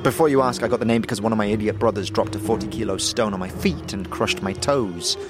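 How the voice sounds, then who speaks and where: A man's voice: lowish voice, fast, lightly acted. A man playing a haughty, dismissive city-dweller with a condescending manner in a fantasy audio drama, with music behind. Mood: snobbish. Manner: condescending, exasperated. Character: haughty, dismissive city-born cousin, jaded and snobbish.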